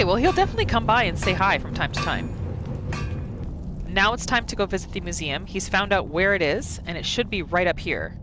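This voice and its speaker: A woman hosting a gaming video, giving expressive roleplay commentary as she plays. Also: mid-range voice. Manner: animated, expressive reactions. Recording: voice over noisy game ambience. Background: video game ambience.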